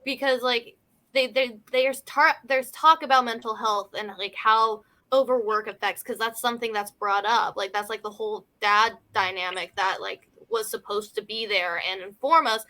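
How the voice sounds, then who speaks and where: A woman's voice: fairly high pitch, steady tone, normal speed, bright, forceful tone. A woman in a casual film discussion, talking in a hedging way peppered with "like" and "you know". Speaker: film review panelist.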